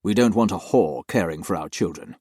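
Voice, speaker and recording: A man doing a harsh stern gentleman. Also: medium pitch, lively intonation, moderate pace, dry recording, studio quality, pitched above the performer's own voice, natural voice. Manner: harsh, curt, adamant.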